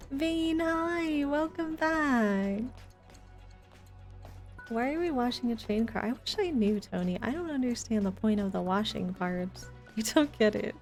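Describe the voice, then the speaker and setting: A woman's voice: fairly high pitch, slow pace, pauses often, darkish tone, soft background music. A woman chatting casually with her viewers while livestreaming a video game, in a chatty, giggly manner.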